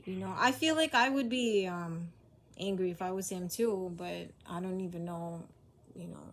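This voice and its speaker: A woman's voice: on the low side, moderate pace, a little hiss or hum. A mother talking hesitantly in a casual conversation, often trailing off with "I don't know.".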